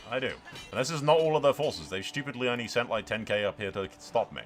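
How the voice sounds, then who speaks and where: A man giving excited, sweary video game commentary with a theatrical, roleplaying streak. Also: medium pitch, fast, soft background music.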